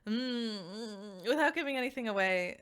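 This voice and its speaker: A woman giving a casual, conversational review to viewers, animated and peppered with "like" asides. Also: fairly high pitch, moderate pace, smooth. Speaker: visual novel reviewer.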